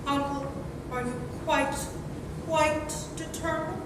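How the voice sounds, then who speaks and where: A woman's voice: high-pitched, fairly even intonation, takes it slowly. A woman playing a haughty, melodramatic niece with a theatrical, florid delivery in a staged comedy reading. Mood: indignant. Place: large room or hall, distant microphone. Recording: very echoey hall from far off, noisy.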